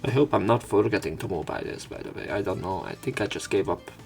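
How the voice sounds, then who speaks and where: Man, gravelly, subdued and weary, animated intonation, game read-aloud with casual asides. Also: low voice, fast. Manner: subdued, tired-sounding delivery. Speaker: let's play narrator. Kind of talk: reading a visual novel aloud with casual commentary. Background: game music.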